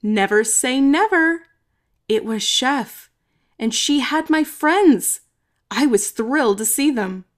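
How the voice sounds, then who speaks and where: A woman reading a children's story aloud expressively, with dramatic emphasis and some drawn-out words. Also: sits high, lively intonation. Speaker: storybook reader. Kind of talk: expressive children's read-aloud, narrating in first person.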